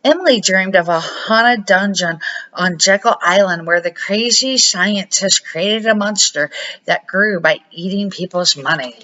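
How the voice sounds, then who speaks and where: A woman's voice: medium pitch, unhurried, pushed and bright. An older woman reading a children's storybook aloud as an amateur narrator. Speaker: amateur storybook reader, a grandmother. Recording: dull lo-fi home recording.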